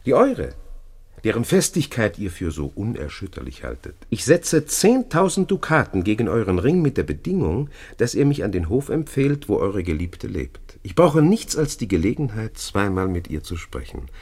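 Radio drama casting: boastful scheming nobleman, theatrical, husky low voice, sweeping intonation. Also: sing-song, moderate pace, a little mellow, heavily acted. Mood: boastful, provoking. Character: brash scheming male seducer, boastful noble gambler. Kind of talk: German radio play, classical stage acting.

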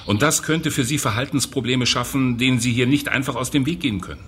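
An actor playing a cynical, callous youth prison director in a German radio drama, condescending and bureaucratic. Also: male voice, mid-range voice, fast, fairly bright tone, a little hiss or hum, close to an everyday voice. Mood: condescending, dry.

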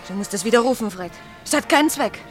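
An actress playing a practical, insistent wife with a brisk, urging manner in a German radio drama. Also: female voice, a little high, lively intonation, faint music behind, natural voice. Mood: urgent concern. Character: practical, insistent wife of a snack bar owner.

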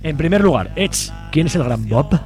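A man's voice: on the high side, animated, moderate pace, over music. A man with an accent from Spain hosting a casual radio chat and introducing his guests. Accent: Spanish. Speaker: radio show host. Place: radio studio.

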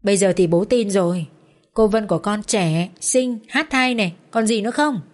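A woman voicing a gentle, hesitant middle-aged father who speaks softly and apologetically. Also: mid-range voice, moderate pace, lightly acted. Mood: teasing. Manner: soft, apologetic, unsure.

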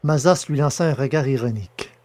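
A man narrating a science fiction audio drama in a steady, measured storytelling way. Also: medium pitch.

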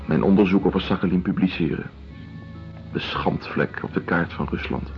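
An actor playing a weary, wistful Russian researcher in a radio drama, speaking in measured, reflective phrases. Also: male voice, sits low. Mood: sceptical, grave. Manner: measured, pausing between short reflective phrases. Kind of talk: radio drama acting, lyrical and slow. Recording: dull lo-fi radio drama recording. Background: music.

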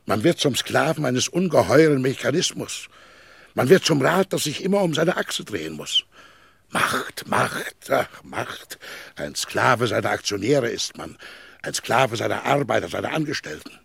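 An actor playing a middle-aged, weary and bitter powerful magnate in a German radio play, declaiming theatrically with a gravelly, husky voice. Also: male voice, mid-range voice, very animated, measured pace, a bit bright, no room sound, studio quality, exaggerated voice. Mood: bitter, agitated. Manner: theatrical, declamatory, with rhetorical questions.

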